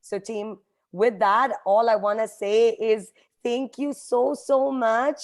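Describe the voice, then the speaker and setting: A woman's voice: fairly high pitch, steady tone, slow. A woman whose native language is Hindi, giving an earnest, emphatic motivational talk to a team on a call as a guest speaker. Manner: earnest, emphatic, addresses listeners directly as a team. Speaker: guest speaker, company vice president. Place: online group call.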